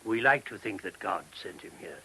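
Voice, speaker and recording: Mild, husky-voiced monk. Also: male voice, low-pitched, lively intonation, normal speed, pushed and bright, exaggerated voice, rough recording. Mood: mild, obliging. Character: mild, obliging monk priest, Father Anselm. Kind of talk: British radio play acting. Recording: old radio drama recording.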